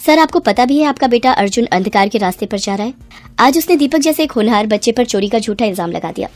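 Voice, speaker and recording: A woman's voice: fairly high pitch, animated, fast talker, faint music behind. A woman voicing a principled, earnest female schoolteacher in a cartoon moral story. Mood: stern, reproving. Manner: earnest, reproving.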